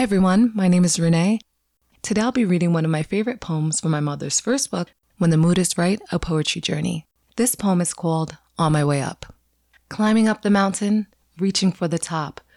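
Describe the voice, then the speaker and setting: A woman's voice: on the low side, darkish tone, no echo, clean studio sound. A woman reading poetry aloud as a guest reader.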